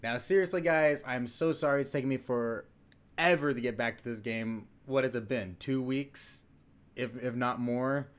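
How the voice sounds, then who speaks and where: A man's voice: medium pitch, normal speed. A young man hosting a casual let's-play, chatting in a rambling way with lots of fillers and restarts. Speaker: gaming channel host.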